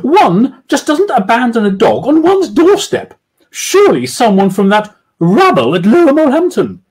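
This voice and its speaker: A man reading aloud and voicing a haughty, posh woman with a high-pitched squawk and a drawn-out, schoolmistress-like manner. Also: huge pitch swings, a little mellow, full character voice. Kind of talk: storybook reading.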